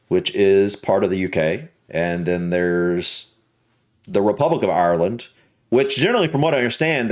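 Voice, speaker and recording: A man with a Southern US accent talks through a reaction video in a loose, halting way, circling back over his own words. His voice sits at an ordinary, middle pitch. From United States. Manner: hesitant, restarts and repeats words often. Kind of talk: casual reaction commentary.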